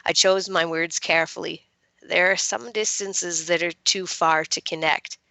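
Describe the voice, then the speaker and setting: A woman reading a young adult novel as its guarded, wry teenage girl narrator, with measured expression. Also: lowish voice, fairly even intonation, gravelly, bright, forceful tone, dry recording, heavily acted, poor audio. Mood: guarded, tender.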